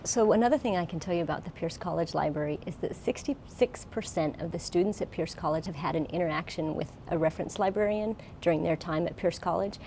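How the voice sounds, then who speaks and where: A woman's voice: on the low side, quick pace, few pauses, dark tone, slight background noise. An American woman giving an informal, plain-spoken explanatory presentation as a college librarian. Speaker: college librarian, guest speaker.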